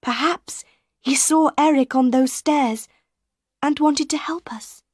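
A woman's voice: high voice, takes it slowly, darkish tone, no echo, clean studio sound, pitched above the performer's own voice. A woman voicing a frightened, tearful young female singer who often drops into a trembling whisper. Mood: anxious.

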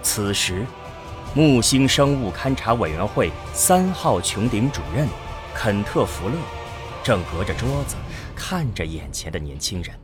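A man's voice: lowish voice, sing-song, unhurried. A man giving dramatic scripted narration for a science fiction radio drama. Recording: music under the voice.

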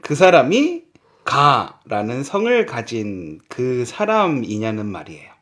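A man from Seoul co-hosts a relaxed Korean-learning podcast. His pitch is very lively, swinging up and down as he jokes. He teases his co-host and laughs often. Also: takes it slowly. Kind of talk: casual language-podcast chat.

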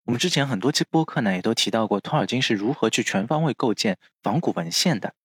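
A man hosting a podcast, explaining from notes and often ending phrases on soft filler particles. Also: medium pitch, lively intonation, slightly rough, dry recording, clean studio sound. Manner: frequent drawn-out filler particles at phrase ends. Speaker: podcast host.

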